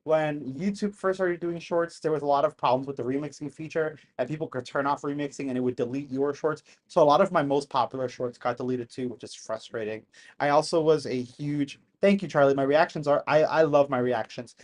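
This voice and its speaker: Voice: medium pitch, a little fast. A person in their thirties chatting casually with live-stream viewers from home in the countryside, rambling and laughing often. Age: 30s.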